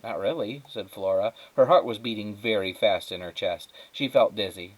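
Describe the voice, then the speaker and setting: A man reading a children's story aloud as a teacher would, with dramatic, measured phrasing and emphatic pauses. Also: fairly low pitch, poor audio. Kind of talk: expressive children's storybook narration.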